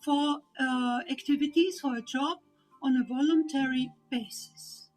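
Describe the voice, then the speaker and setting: An older woman with a German accent giving a measured personal testimony about volunteering, pausing with small fillers. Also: high voice, soft background music, low-quality recording.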